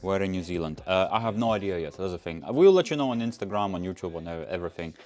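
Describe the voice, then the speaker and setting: A man's voice: sits low, lively intonation, fast talker, darkish tone, faint music behind. A young man from Latvia, not a native English speaker, casually answering chat questions on a livestream and rambling with lots of fillers. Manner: loose and rambling, many fillers and restarts. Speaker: car channel host.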